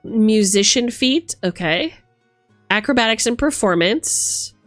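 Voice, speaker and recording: Female streamer, theatrical and animated, highly varied pitch, casual live chat and read-aloud, dry sound over music. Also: takes it slowly, dry recording. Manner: animated, theatrical, reacting to chat as she reads. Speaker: streamer host. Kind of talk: casual livestream chat with reading aloud from a rulebook.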